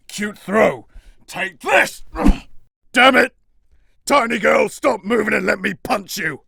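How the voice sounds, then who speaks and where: A man's voice: sits high, lively intonation, takes it slowly, long pauses, exaggerated voice. An actor voicing a crude, mocking male half-orc brawler in a tavern fight. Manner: gravelly, jeering, shouting.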